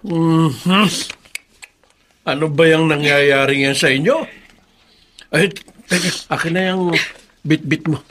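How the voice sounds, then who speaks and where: A man's voice: on the high side, fairly even intonation, takes it slowly, pauses often, fairly bright tone, slight background noise. An actor in a radio drama playing a concerned, plain-spoken older father with a hesitant, folksy, advising manner.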